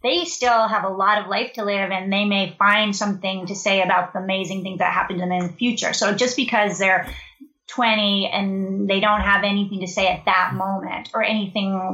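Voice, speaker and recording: A woman's voice: mid-range voice, fairly even intonation, normal speed, bright, forceful tone, reverberant, rough recording. A woman who co-directs a creative writing program, talking casually in an interview with many fillers and restarts.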